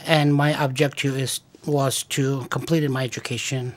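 A man from Afghanistan with an Afghan accent, speaking as a guest in a radio interview, halting and careful, with frequent pauses and fillers. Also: medium pitch, flat delivery. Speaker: guest, cancer researcher.

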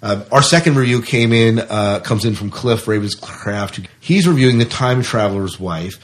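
A man's voice: lowish voice, normal speed. A man co-hosting a podcast, talking casually about films and reading out reviews. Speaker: podcast co-host.

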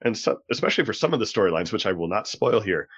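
Guy with a really low voice chatting on a podcast, kinda halting, muffled lo-fi sound. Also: very animated, breathy edge. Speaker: podcast guest. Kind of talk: casual discussion on a podcast. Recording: dull lo-fi audio.

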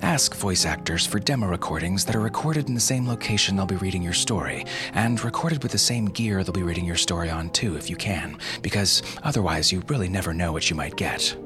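A man hosting a podcast with scripted comedy, speaking in a deadpan, tongue-in-cheek manner. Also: low voice, fairly quick, hardly any pauses. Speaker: podcast host. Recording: music behind the voice. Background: music.

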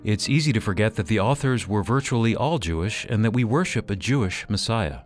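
A man's voice: lowish voice, animated, brisk, soft background music. A man reading scripted promotional narration as the announcer of a radio program.

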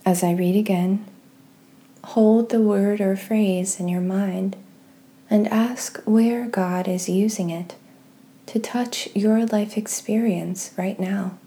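A woman leading a guided meditation and scripture reading in a gentle, soft-spoken, measured way with pauses. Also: mid-range voice, steady tone. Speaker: host of a guided prayer podcast.

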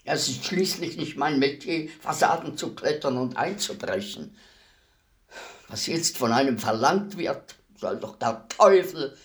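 A man playing a clumsy older burglar in a radio play, gruff and cursing, then formally apologetic. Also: mid-range voice, lively intonation, unhurried, lightly acted. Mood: grumbling. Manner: formally polite between curses.

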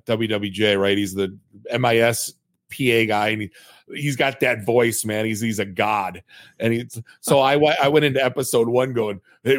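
A man in the middle range of pitch hosts a podcast, talking in a chatty, storytelling way and poking fun at himself. His voice is recorded close and dry, with no room sound. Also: a bit bright, no echo. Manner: chatty, storytelling, self-mocking, many restarts. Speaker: podcast host. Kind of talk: casual podcast conversation.

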